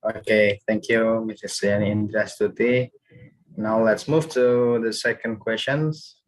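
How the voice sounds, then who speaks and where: Male webinar moderator, Indonesian accent, fairly low pitch, unhurried speech. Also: fairly even intonation. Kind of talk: moderating a panel discussion. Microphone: video call.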